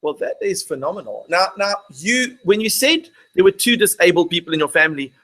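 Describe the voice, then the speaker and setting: A man's voice: high voice, very animated, brisk, bright, forceful tone. A man hosting a casual interview, chatty, restarting his questions and readily affirming. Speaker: interviewer, host.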